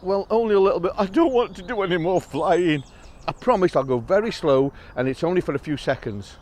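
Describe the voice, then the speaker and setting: A man voicing a kind, eager female bird who gushes and pleads excitedly, in a storybook telling. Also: high-pitched, fairly quick, pitched above the performer's own voice. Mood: coaxing.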